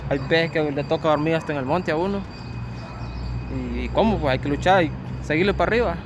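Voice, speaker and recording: A man's voice: a little high, a little fast. Honduran man, noisy outdoor recording. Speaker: migrant interviewee. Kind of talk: plain spoken testimony.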